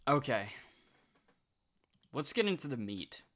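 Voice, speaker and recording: A nineteen-year-old man chatting casually on a livestream, reading out viewer comments, excitable and swearing freely. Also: mid-range voice, slow.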